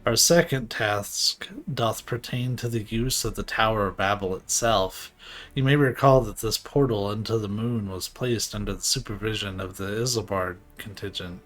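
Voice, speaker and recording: A man reading game dialogue aloud for a formal, archaic-speaking scholar ally, in a plain, fairly flat way. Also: on the low side, moderate pace, natural voice.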